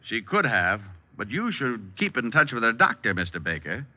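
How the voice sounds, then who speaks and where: A man's voice: mid-range voice, big pitch swings, moderate pace, lightly acted. Wisecracking radio private eye, acted by a man. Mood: cool, accusing. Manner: dry, sardonic. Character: wisecracking, cool male private detective. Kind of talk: 1940s radio detective acting. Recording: dull old-time radio recording.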